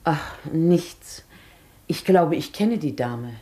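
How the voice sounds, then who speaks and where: A mellow, low female voice in a radio play. She is a ladylike newcomer to a sanatorium. She speaks politely and with reserve. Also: animated, takes it slowly. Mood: hesitant. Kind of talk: German radio play acting.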